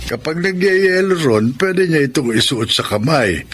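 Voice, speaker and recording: An actor playing a kindly, halting widowed grandfather of about seventy in a Tagalog radio drama. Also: a little high, expressive, a bit bright, a little hiss or hum. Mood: kindly, patient. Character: gentle lonely widowed grandfather who crochets for his children.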